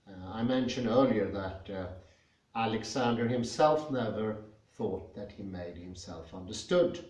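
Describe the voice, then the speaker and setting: A man with a Swedish accent giving a spoken lecture, thoughtful and pausing often with fillers. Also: lowish voice, a little mellow. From Sweden. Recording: echoey room, mic at a distance.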